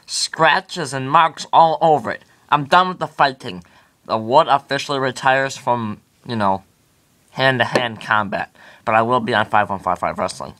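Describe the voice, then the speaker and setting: A man's voice: mid-range voice, normal speed, pushed and bright, close to an everyday voice. A man voicing a boastful male toy cowboy who calls himself the Wood and speaks of himself in the third person. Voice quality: cocky, swaggering, talks about himself in third person. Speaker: channel host. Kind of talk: toy comedy skit.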